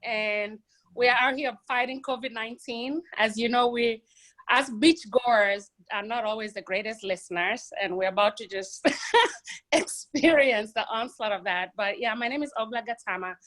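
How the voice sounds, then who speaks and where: A woman's voice: a little high, normal speed. A woman in her forties who grew up in Kenya, a guest telling her life story on a live video call from her phone, earnest and full of "you know". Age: 40s. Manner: earnest, warm storytelling with frequent "you know" and light laughs. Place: home room with a stack of books behind.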